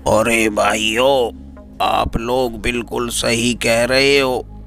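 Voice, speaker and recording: A man's voice: mid-range voice, level tone, drawn-out words, fairly bright tone, faint music behind. A man voicing a worried, earnest village headman in a cartoon. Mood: concerned. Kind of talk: Hindi cartoon character dialogue.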